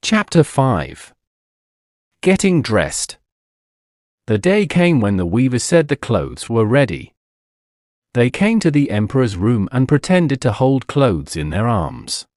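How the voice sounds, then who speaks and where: A man narrating a scripted story for English learners in a deliberate, clearly phrased, expressive storytelling manner. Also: medium pitch, no echo, studio quality. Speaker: audiobook narrator.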